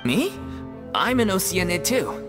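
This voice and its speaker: Earnest young troupe actor. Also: male voice, high voice, big pitch swings, measured pace, music in the background. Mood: friendly. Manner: earnest, conversational. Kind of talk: video game character acting.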